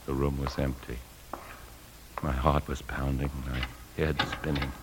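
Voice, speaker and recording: An American actor playing a weary, guilt-ridden husband and bank man, narrating gravely in a 1950s radio drama. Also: extremely low pitch, level tone, measured pace, noisy background. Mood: grave, confessional. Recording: 1950s radio broadcast with music.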